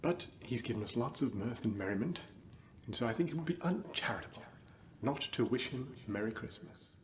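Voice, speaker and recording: A man's voice: mid-range voice, lively intonation, brisk, natural voice. Man as a genial nephew, off-mic. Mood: genial, forgiving. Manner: genial, playful, chatty. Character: Scrooge's good-humoured, forgiving nephew at Christmas party. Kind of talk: period literary drama acting. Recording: distant mic, faint background noise.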